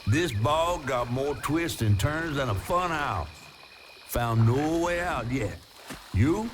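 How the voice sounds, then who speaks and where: Folksy, lively Southern swamp companion. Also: male voice, mid-range voice, takes it slowly. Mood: cautious, musing. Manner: folksy, drawling colloquial talk. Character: folksy, cautious male swamp companion, fond and wary. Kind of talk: video game voice acting. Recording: ambient noise behind the voice.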